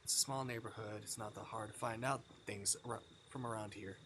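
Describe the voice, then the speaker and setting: A man's voice: lowish voice, fairly even intonation, brisk, pushed and bright, slight background noise. A man voicing an anxious young male convenience store clerk in a visual novel, earnest, hesitant and pleading. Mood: uneasy.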